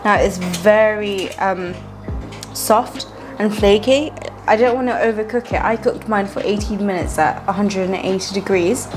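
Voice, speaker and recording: A woman's voice: medium pitch, measured pace. A woman, chatting casually as she talks viewers through a home cooking recipe step by step. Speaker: cooking vlogger. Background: background music.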